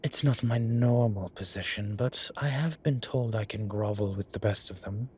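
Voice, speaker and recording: A man playing a flirtatious, cheeky incubus demon in an audio roleplay, talking in a teasing, suggestive way with drawn-out pauses. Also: lowish voice, a little mellow, a little hiss or hum, close to an everyday voice. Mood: playful pleading. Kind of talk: audio roleplay acting, one-sided conversation.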